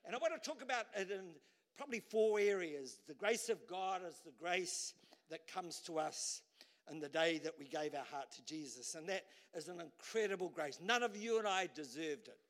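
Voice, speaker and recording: A male preacher with a high, bright, ringing voice delivers an animated sermon. He swings from loud, shouted bursts to quiet, low asides, often repeating words as he drives home a point. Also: normal speed. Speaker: pastor.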